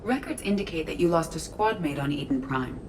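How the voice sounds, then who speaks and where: Brisk, detached ship AI. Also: female voice, low-pitched, animated, noisy background. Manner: precise, detached, formal. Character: calm, analytical female ship artificial intelligence. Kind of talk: video game voice acting.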